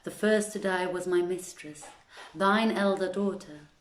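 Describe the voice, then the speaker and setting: A woman voicing a lamenting male servant in a storybook tale, with emphatic, drawn-out phrasing. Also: lowish voice, roomy sound, natural voice, rough recording. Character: male servant, theatrically wailing, reporting disaster.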